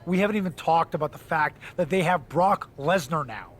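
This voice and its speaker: A pragmatic wrestler sidekick acted by a man in a video game story. The voice is a little high for a man, frank and wry, talking like someone giving sensible warnings. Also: a little fast, natural voice. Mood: cautioning. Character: pragmatic, cautioning male wrestler ally. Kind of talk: video game cutscene dialogue.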